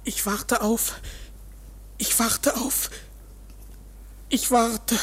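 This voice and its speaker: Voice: mid-range voice, level tone, drawn-out words, a bit bright, husky, full character voice. A performer in a radio play as a timid, servile petitioner, hesitant and stammering. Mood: frightened, faltering. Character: timid, trembling, servile petitioner waiting on the court. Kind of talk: German radio play acting.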